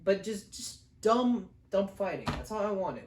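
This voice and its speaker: A man's voice: sits high, expressive, a little slow. A man co-hosting a casual film review, rambling and exasperated as he talks. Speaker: co-host of a film review show. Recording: roomy echo, distant mic, faint noise.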